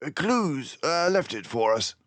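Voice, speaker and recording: A man's voice: a little high, big pitch swings, unhurried, a bit bright, no echo, pitched above the performer's own voice. A man voicing a clever, confident mouse detective from a storybook, with an emphatic, precise, lecturing manner. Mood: brisk, wry. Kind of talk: storybook character voice.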